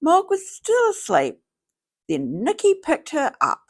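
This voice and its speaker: A woman reading a children's storybook aloud in a theatrical, deliberate way. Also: high voice, wildly swooping pitch. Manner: slow, theatrical, deliberate phrasing. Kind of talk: expressive scripted storybook read-aloud.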